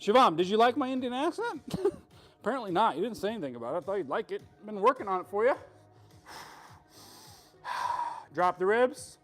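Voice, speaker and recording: A man's voice: high voice, very animated, moderate pace, pauses often, a bit bright. A man hosting a live workout stream, chatting with viewers between lifts in a joking, hyped-up coaching way. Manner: hyped, joking, bro-style coaching talk.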